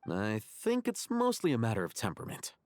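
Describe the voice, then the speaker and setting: A man's voice: mid-range voice, wildly swooping pitch, a little fast, darkish tone, no echo. An actor playing a composed young male scholar in a game cutscene, dry and matter-of-fact. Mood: calm, dry.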